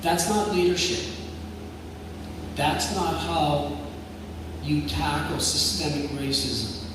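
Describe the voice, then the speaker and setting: A man's voice: a little high, fairly even intonation, slow pace. A man answering as a candidate at a public debate, firm and emphatic in his delivery. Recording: echoey hall from far off, noisy.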